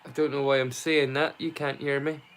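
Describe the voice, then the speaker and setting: A man's voice: medium pitch, little pitch movement, fairly quick, fairly bright tone, clean tone. A man hosting a casual livestream, chatting to viewers in a rambling, stop-start way and repeating words mid-sentence. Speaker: livestream host.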